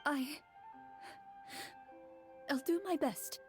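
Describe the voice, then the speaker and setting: A troubled young-sounding townswoman speaks in a fairly high voice. She is polite and hesitant, a timid fantasy game character, with light music behind her. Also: very slow. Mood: grateful, hesitant. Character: troubled, timid, plainly dressed townswoman, a soldier's wife.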